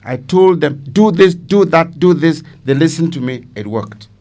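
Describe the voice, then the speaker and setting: A man's voice: on the high side, animated, moderate pace, a little mellow. An older man with a Cameroonian accent, a retired army commander, recounting his memories in an interview with emphatic repetition. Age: older, 70s or more. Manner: emphatic, repeats phrases for weight.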